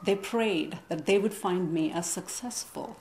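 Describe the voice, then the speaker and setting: A woman from India, a guest on a TV interview, explaining her views in an articulate, measured way. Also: fairly low pitch, a little mellow, roomy sound, slight background noise, rough recording. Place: TV studio.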